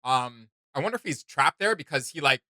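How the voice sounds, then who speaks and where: A man's voice: on the high side, lively intonation, a little fast, bright, forceful tone, no room sound, studio quality. A man hosting a reaction video, thinking aloud in casual, rambling commentary.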